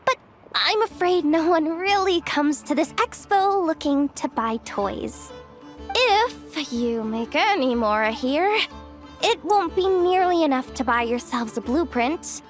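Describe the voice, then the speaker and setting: A woman's voice: high voice, lively intonation, a little slow. A woman playing an earnest, overworked young woman manager in a video game, with a fretful delivery. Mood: concerned, firm. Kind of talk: animated game voice acting. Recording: music under the voice.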